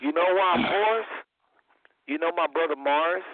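A hoarse man hosting a call-in talk show, talking to listeners in an animated, preacherly way. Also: fairly high pitch, moderate pace, no room sound, narrow, tinny audio. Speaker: host.

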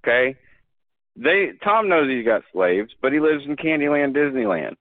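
A man's voice: mid-range voice, big pitch swings, moderate pace, no echo. A man talking as a podcast guest, earnest and emphatic, often restarting his sentences. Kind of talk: casual conversation. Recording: dry phone-like line.

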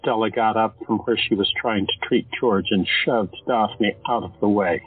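A man narrating an audio drama in a steady, measured storytelling style. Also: lowish voice, slightly rough. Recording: tinny phone-like audio, faint music.

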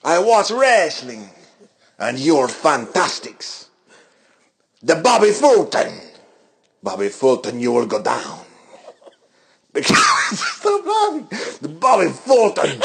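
A man's voice: fairly high pitch, huge pitch swings, slow pace, pauses often, fairly bright tone, slight background noise, heavily acted. A man voicing a boastful Russian heel wrestler, cutting a menacing promo in a put-on accent with broken English.

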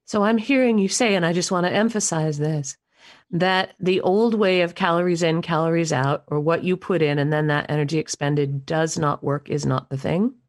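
A woman with a lowish voice hosts a conversational interview, close and dry in sound. She listens attentively and restates points as she asks her questions. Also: normal speed, dry recording. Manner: attentive, reflects back what she hears. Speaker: podcast host, interviewer.

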